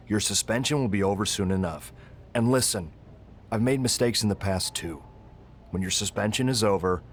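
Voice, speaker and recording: A man's voice: fairly low pitch, a little hiss or hum, lightly acted. An actor playing a stern but fatherly wrestling general manager, firm and dismissive, in a video game cutscene.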